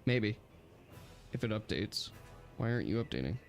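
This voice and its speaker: A man's voice: on the low side, sing-song, normal speed, creaky. A man acting as game master in a casual tabletop game, explaining rules step by step. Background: background music.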